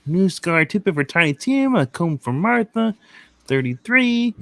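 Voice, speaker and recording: A man's voice: high-pitched, very animated, unhurried, vocal fry, fairly bright tone, no room sound, full character voice. A man playing a meek, eager, put-upon clerk, timid and deferential, in a theatrical radio play reading. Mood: muttering, busy.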